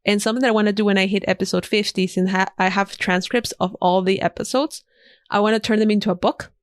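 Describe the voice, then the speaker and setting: A woman's voice: mid-range voice, fairly even intonation, fast, no room sound, studio quality. A woman whose first language is Spanish, a podcast guest chatting casually and eagerly recommending things with emphatic repeats. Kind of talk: casual interview chat. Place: podcast studio.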